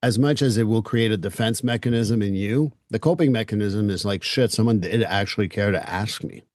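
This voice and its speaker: A man from Canada who grew up speaking French first, talking with a fairly low voice as he hosts. He is direct and emphatic and likes to tease. His voice is close and dry, with no room sound. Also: brisk, a little mellow, no echo. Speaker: podcast host. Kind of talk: interview conversation.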